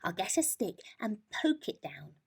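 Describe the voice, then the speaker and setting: A woman's voice: mid-range voice, very animated, unhurried, a little mellow, slightly rough, exaggerated voice. A woman voicing an eager, naive young male mole in a children's storybook. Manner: eager, wide-eyed exclaiming. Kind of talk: children's storybook character reading.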